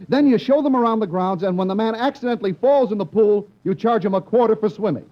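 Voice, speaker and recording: A man's voice: high-pitched, fast, a little hiss or hum, natural voice. An actor playing a frank male television director who explains things bluntly in a 1950s radio comedy. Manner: explanatory, lecturing delivery. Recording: dull lo-fi old radio recording.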